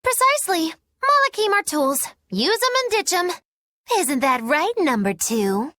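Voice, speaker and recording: A woman's voice: extremely high pitch, big pitch swings, a little slow, sharp tone, no room sound, clean studio sound, full character voice. An actress playing a playful, flippant, callously teasing young woman in a theatrical video game style. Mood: teasing, sly. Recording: faint music behind the voice.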